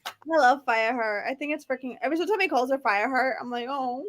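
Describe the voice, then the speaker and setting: A high-voiced woman chats on a book livestream as co-host. She is excitable and gushing, leaning hard on her words in a very informal way. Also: quick pace. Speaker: co-host of book discussion live show. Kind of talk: casual livestream book chat. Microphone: video call over internet.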